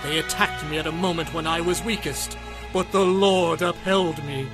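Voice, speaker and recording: A man's voice: a little high, steady tone, normal speed, natural voice. An actor playing a devout warrior king, reciting praise to God solemnly and fervently in a dramatized scripture reading. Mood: solemn awe. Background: music.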